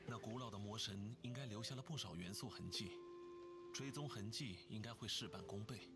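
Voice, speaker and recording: A man voicing a composed, learned gentleman in a video game, speaking in a measured, formal, explanatory way. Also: on the low side, natural voice. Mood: calm, explanatory. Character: composed, learned gentleman consultant, scholarly and unhurried. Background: game music.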